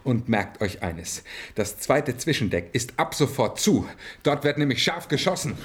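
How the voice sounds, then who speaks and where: An actor playing a blunt, threatening mutinous crewman with a raspy voice in a science-fiction radio drama. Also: medium pitch, very animated, measured pace, noisy background. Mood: defiant, threatening. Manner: blunt, defiant. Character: defiant, threatening mutinous male spaceship crewman.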